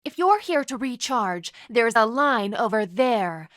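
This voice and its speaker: A woman's voice: on the high side, lively intonation, a little slow, fairly bright tone, dry recording, clean studio sound. An actress voicing a stern, haughty female panda palace enforcer in a video game, formal, commanding and disdainful. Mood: cold, stern.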